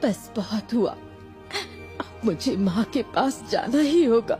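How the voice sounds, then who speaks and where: A woman playing a grieving, weary widowed mother who is gentle with her child, in an emotional cartoon horror story delivery. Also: fairly high pitch, lively intonation, takes it slowly. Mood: despairing. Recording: music under the voice.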